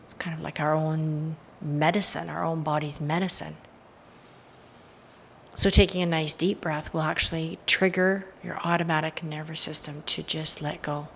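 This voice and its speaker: A woman with a low voice leads a guided meditation. Her delivery is soothing, with creaky fry as phrases trail softly away. A faint layer of background noise sits under the recording. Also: flat delivery, normal speed. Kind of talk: guided meditation, hypnotic induction.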